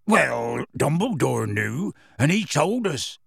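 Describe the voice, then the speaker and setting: Huge rustic half-giant, gravelly, husky, plain-spoken, warm-hearted, audiobook character. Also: male voice, on the high side, very animated, no echo, studio quality, heavily acted. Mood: cagey. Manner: folksy, ungrammatical, unhurried storytelling.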